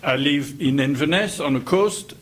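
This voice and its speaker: A man's voice: fairly high pitch, normal speed. An older man with a French accent, introducing himself at a group meeting in a joking way and laughing often. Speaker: meeting attendee. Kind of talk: casual self-introduction.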